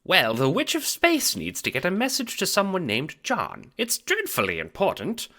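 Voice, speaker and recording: A man's voice: high voice, huge pitch swings, normal speed, pushed and bright, voice pushed up, heavily acted. A man voicing a fussy, motherly small alien woman with prim, emphatic, old-fashioned manners in an audio drama. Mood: bossy, indignant. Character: fussy, motherly small female carapacian attendant, bossy. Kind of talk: audio drama character acting.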